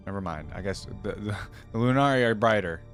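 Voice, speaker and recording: A woman's voice: very deep voice, expressive, a little fast, faint music behind. A woman hosting a reaction video, talking in a casual, musing, conversational way. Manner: musing, conversational, often echoes lines back.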